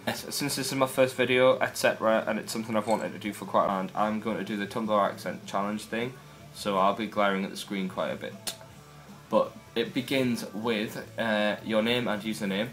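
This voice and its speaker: Brief: young Geordie man, low-pitched voice, casual wry vlogger, faint music underneath. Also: fairly even intonation, brisk, fairly bright tone. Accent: Newcastle Geordie. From North East England. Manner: chatty, wry, rambling asides. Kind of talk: casual first video blog.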